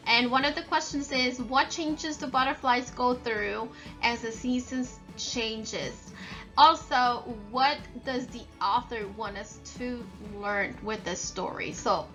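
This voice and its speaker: Lady teacher doing a read aloud for little kids, high bright voice, talks slow and stresses words, music behind. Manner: addresses children directly, emphasizes key words. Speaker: teacher reading aloud to children. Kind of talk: slow instructional read-aloud with call-and-response prompts.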